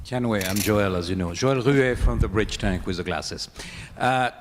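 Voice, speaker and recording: A man in the audience asking a question, pausing with "uh" between phrases. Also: lowish voice, noisy background. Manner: hesitant, with "uh" pauses. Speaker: audience member. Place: conference hall. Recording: echoey conference hall.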